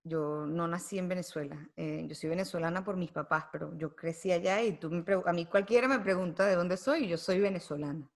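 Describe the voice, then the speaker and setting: Reflective woman guest, lowish voice. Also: brisk, few pauses. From United States. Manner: thoughtful, frequent fillers and tag questions. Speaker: podcast guest. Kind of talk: reflective conversational interview.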